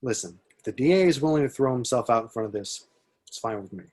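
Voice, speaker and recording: A man's voice: mid-range voice, lively intonation, quick pace, a little mellow, natural voice. A man playing a stern, skeptical police captain in an amateur table read. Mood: stern, dismissive.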